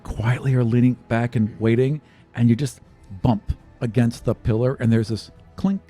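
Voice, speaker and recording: A male game master narrates a tabletop adventure in a casual, improvised way. His voice sits at an ordinary pitch, with music playing underneath. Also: a little mellow. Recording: music behind the voice. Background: background music.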